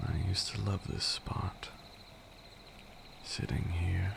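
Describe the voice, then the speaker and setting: Man voicing a very deep, gravelly vampire lord. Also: little pitch movement, noisy background, heavily acted. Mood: calm, brooding. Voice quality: deep gravelly voice, breathy at times. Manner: archaic, deliberate, teasing and commanding. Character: brooding ancient male vampire lord, seductive, menacing, possessive. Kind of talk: ASMR roleplay, slow intimate monologue.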